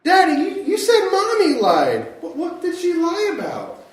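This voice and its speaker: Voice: very high-pitched, huge pitch swings, unhurried, heavily acted. An adult performer voicing an innocent, easily upset little boy who asks questions in a radio comedy sketch. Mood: innocent worry. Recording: very echoey live room, distant mic.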